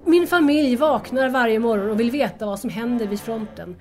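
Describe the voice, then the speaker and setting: A woman's voice: fairly high pitch, darkish tone, natural voice. A woman playing a hurt, anxious young woman in an audio drama, speaking earnestly and formally to estranged lovers. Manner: earnest, wounded, formal address.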